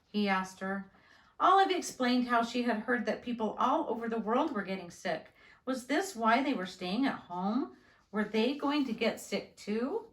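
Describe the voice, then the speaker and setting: A woman's voice: on the high side, a bit bright. Woman reading a storybook, echoey room. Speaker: school nurse reading a story. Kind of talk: children's storybook read-aloud. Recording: roomy, echoing indoor sound.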